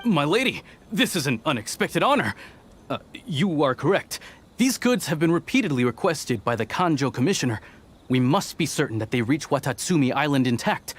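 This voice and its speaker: High-pitched, deferential guard. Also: male voice, very animated, normal speed, breathy edge. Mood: flattered, deferential. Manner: formal, hesitant, obsequious. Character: deferential, flustered male guard, eager to serve. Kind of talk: video game voice acting.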